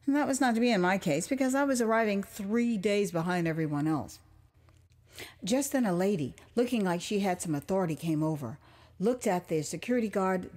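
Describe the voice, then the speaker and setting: Expressive American woman telling her story. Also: medium pitch. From United States (Houston, Texas). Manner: expressive, conversational storytelling with dramatic pauses. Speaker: author and storyteller. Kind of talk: dramatized memoir narration.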